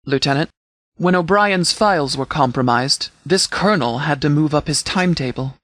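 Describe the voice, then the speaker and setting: A man playing a stern sheriff in a video game, giving formal, measured briefings with authority. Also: a little high, fairly bright tone, no room sound, close to an everyday voice. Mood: matter-of-fact, authoritative. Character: stern, formal sheriff commanding a post-apocalyptic settlement militia. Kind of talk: scripted video game dialogue, military briefing.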